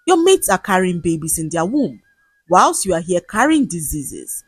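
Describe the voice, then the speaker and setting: A woman's voice: lowish voice, big pitch swings, normal speed, husky, no echo, faint music behind, exaggerated voice. A woman voicing a cruel, jealous husband in a folktale, scornful, accusing and domineering.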